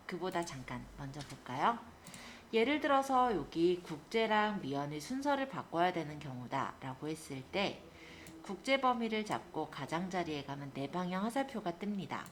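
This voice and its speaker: A woman instructor giving a polite, step-by-step spreadsheet software tutorial, guiding listeners through each action. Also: fairly low pitch, a little hiss or hum. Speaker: computer skills instructor.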